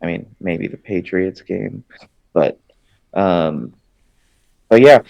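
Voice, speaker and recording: A man with a very deep voice chats on a sports podcast, recorded close and dry. He is relaxed and wry, filling his talk with ums and likes. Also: takes it slowly, vocal fry, no room sound, lo-fi sound. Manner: laid-back, wry ribbing, fillers like "um" and "like". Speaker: podcast co-host. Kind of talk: casual sports podcast chat.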